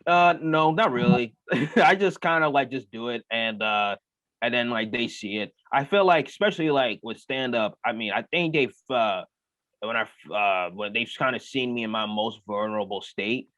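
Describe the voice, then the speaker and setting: A man's voice: medium pitch, moderate pace, no room sound. A young man, a comedian, answering interview questions casually with many "uh"s and "you know"s. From United States. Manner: relaxed, lots of fillers like "uh" and "you know". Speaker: comedian guest. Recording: muffled dry remote audio.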